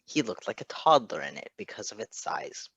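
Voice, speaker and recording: A man's voice: on the low side, sing-song, moderate pace, vocal fry, fairly bright tone, slightly rough, dry recording. A man reading fan fiction aloud as a narrator, with casual asides.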